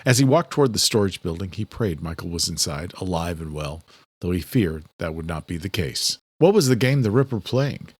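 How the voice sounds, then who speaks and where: A man's voice: deep voice, animated, a little fast, husky, no room sound. A man reading a horror thriller audiobook in a scripted, dramatic narration style.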